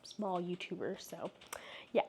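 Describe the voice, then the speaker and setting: A woman's voice: on the low side, steady tone, measured pace, a little mellow, husky. Young woman chatting, a bit congested. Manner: rambling, many fillers and restarts. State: congested from a cold. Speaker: book vlogger. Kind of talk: casual chatty vlog.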